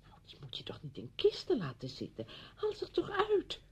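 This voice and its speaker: An actress playing a chatty, meddling woman visiting an old friend in a Dutch radio play, brisk and insistent in manner. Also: very high-pitched, huge pitch swings, darkish tone, whispered, heavily acted. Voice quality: husky, creaky.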